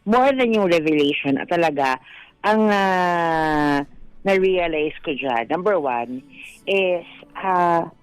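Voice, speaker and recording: A woman's voice: on the low side, lively intonation, slow, smooth, no echo, poor audio. Filipino female radio co-host, dry studio sound. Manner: code-switches between Tagalog and English. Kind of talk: casual radio chat with reflective commentary. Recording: dry studio voice over soft music.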